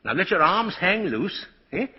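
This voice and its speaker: A man's voice: high voice, big pitch swings, normal speed, breathy edge, exaggerated voice. An actor playing a kindly, whimsical old man who claims to be Santa Claus, in 1940s radio style. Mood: gentle, playful. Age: older. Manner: chuckling, gentle, whimsical. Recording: muffled vintage 1940s radio recording.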